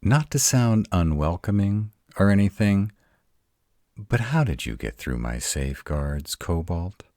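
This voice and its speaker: Man acting as grumpy were-dragon, extremely low voice, slow sarcastic drawl, protective, roleplay audio drama. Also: animated, mellow, no echo, studio quality. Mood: indignant, probing. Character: grumpy, protective tsundere male were-dragon guarding his mountain.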